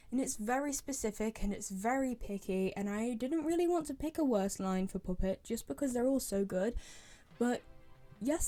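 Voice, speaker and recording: A woman's voice: mid-range voice, mellow. A woman chatting casually and giving opinions as a fan commentator, rambling and repeating herself for emphasis. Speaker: fan commentator ranking game voice lines.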